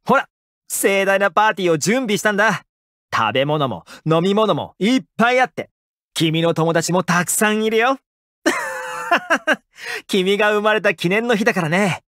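Animated, friendly young anime housekeeper. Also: male voice, high voice, moderate pace, no echo, clean studio sound. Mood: cheerful, warm. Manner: casual, gently attentive, expressive. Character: friendly, caring young male housekeeper, upbeat and helpful. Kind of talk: Japanese anime game character voice lines.

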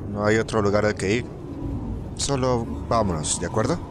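A man's voice: on the low side, normal speed, noisy background, lightly acted. Man playing uneasy, sarcastic Latin American office guy. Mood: uneasy, dry. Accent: Latin American Spanish. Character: uneasy, sarcastic young male corporate employee. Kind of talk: conversational video game fan-dub acting.

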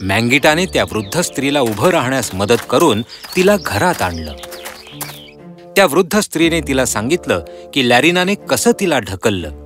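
A man's voice: mid-range voice, very animated, moderate pace, fairly bright tone, soft background music. A man narrating a children's fairy tale in a scripted storybook style.

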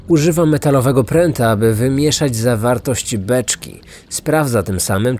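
A man's voice: medium pitch, darkish tone. Male narrator, medium register, scripted true-crime podcast delivery, light music bed underneath. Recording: music behind the voice. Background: background music.

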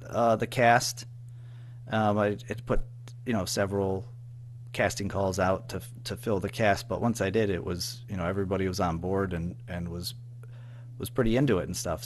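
A man being interviewed in casual chat, speaking haltingly, repeating words and trailing off. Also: on the low side. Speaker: audio drama writer-producer, interview guest. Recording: faint background noise and hum.

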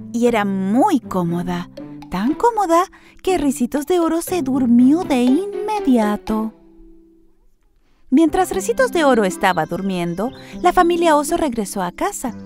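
A woman narrating a scripted children's story in an expressive storytelling manner. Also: high-pitched, a little slow, faint music behind.

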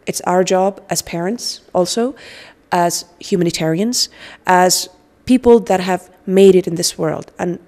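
A woman in her forties from Afghanistan giving earnest, halting interview remarks into a handheld microphone. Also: lowish voice, a little mellow, long reverb tail. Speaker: interview guest, humanitarian. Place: indoor lounge with sofas.

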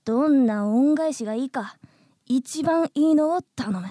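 A woman's voice: sits high, a little slow, mellow, dry recording, studio quality. A woman voicing a polite, insistent, earnest mosquito in human form in a radio comedy drama. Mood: grateful, eager.

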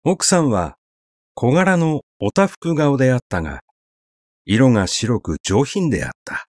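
A man narrating a literary story in a measured, conversational, expressive way, as if telling it to someone. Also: mid-range voice, dry recording, studio quality.

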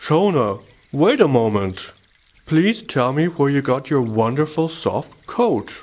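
An earnest little hedgehog played by a man talks in a lively, swinging voice. He is a wistful, excitable storybook animal who stretches words for emphasis and is easily put out. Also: medium pitch, measured pace, pitched above the performer's own voice. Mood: excited.

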